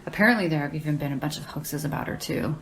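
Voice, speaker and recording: An actress playing a sardonic, deadpan young woman in casual audio drama banter, with a gravelly creak and a dry, teasing delivery. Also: sits low, fast talker. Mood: dry, matter-of-fact. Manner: dry, teasing, slangy, unimpressed. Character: deadpan, sardonic young woman who loves horror paperbacks.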